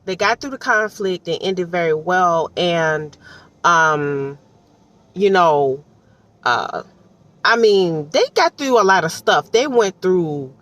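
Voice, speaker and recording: A woman's voice: fairly low pitch, animated, slow, a bit bright. A woman from Detroit with an American accent gives casual, rambling commentary in a slangy, conversational way full of asides. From Detroit, United States. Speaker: audiobook reviewer. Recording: distant mic with faint background noise.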